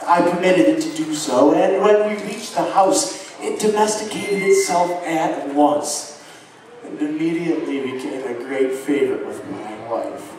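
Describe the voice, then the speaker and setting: A man playing a haunted, unhinged murderer confessing his crime in a halting, theatrical dramatic stage reading of gothic horror. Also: on the high side, natural voice. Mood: uneasy, recollecting. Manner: halting, theatrical, swinging from hushed to agitated. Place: live show at an amusement park, far from microphone. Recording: very echoey, distant live recording, faint noise.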